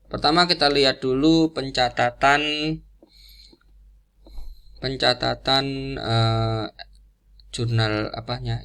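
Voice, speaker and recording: A man giving an informal explanatory lecture in a didactic, halting way, repeating key phrases as he goes. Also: lowish voice, creaky, fairly bright tone. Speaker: accounting lecturer.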